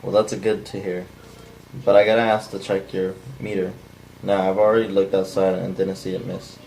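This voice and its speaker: A man playing a polite but pushy young utility worker, reading flatly from a script as a non-actor. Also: sits low, normal speed, creaky. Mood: polite, apologetic. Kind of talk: flat cold read of a script by a non-actor. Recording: noisy amateur room recording.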